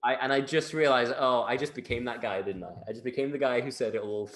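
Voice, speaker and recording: A man's voice: medium pitch, fairly even intonation, fast talker, a bit bright, slight background noise. A man from England with a British accent, speaking emphatically as a guest in an interview, repeating phrases and often checking in with a tag question. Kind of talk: conversational interview talk.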